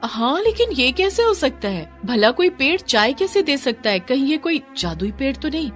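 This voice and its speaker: A woman's voice: medium pitch, brisk, fairly bright tone, faint music behind, natural voice. A woman voicing the grumbling, exasperated wife of a village tea seller in a story, scolding and emphatic. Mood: amazed.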